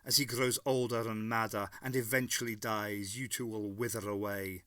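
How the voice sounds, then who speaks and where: A man voicing a calm, persuasive male time traveller in an audiobook story, speaking with quiet authority. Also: fairly low pitch, moderate pace, clear, resonant tone, no room sound, clean studio sound.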